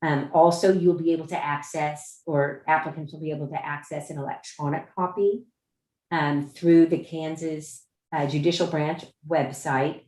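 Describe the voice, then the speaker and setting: A woman chairing a meeting on a video call, informally explaining procedure in a halting way, often rephrasing her points. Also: sits low, fairly even intonation, a little mellow. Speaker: chair of a judicial nominating commission meeting. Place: indoor room on a Zoom conference call. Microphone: video call microphone. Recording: roomy, echoing video-call audio.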